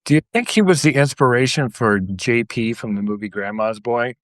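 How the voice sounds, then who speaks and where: A man's voice: medium pitch, expressive, moderate pace, no echo. A man co-hosting a podcast, chatting casually. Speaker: podcast co-host.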